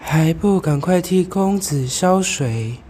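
A man's voice: fairly high pitch, animated, slow pace, mellow, a little hiss or hum. A teenage male student playing a commanding, grieving ghost of a noble wife in an amateur radio drama, speaking formally.

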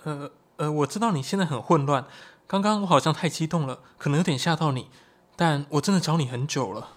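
An actor playing an earnest, excitable young shapeshifting tribesman in a Chinese audio drama, explaining things expressively. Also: a little high, a little fast, close to an everyday voice.

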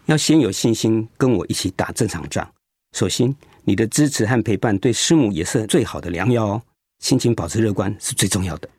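Kind, steady, low-voiced male doctor. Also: brisk, husky, no room sound, clean studio sound. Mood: reassuring, firm. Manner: reassuring, explanatory. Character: kind, steady male oncologist encouraging a patient. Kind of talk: Mandarin radio drama acting.

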